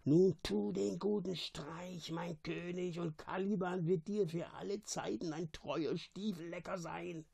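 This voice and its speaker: A man's voice: high-pitched, steady tone, unhurried, gravelly, few pauses, dark tone, breathy edge, pitched above the performer's own voice, full character voice. A man voicing a brutish, servile and spiteful monster servant in a storybook, hissing and whispering conspiratorially. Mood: sly, servile.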